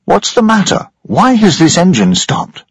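A man's voice: high voice, huge pitch swings, a little slow, husky, dry recording, voice pushed up, exaggerated voice. A man voicing a frightened but firm male engineer travelling on a ship. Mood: alarmed. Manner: urgent, firm. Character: alarmed male engineer passenger.

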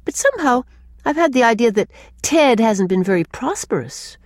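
A woman's voice: a little high, sing-song, normal speed, dry recording, studio quality, pitched above the performer's own voice. A woman voicing a plucky, sensible young woman in an audiobook story. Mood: musing. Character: young woman, sensible, plucky, chatty, flustered when cornered. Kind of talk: audiobook character dialogue.

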